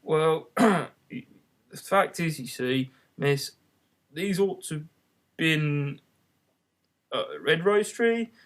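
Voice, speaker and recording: A man voicing a humble, nervous gardener in a storybook, halting and deferential as he explains himself. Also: fairly high pitch. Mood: hesitant, apologetic.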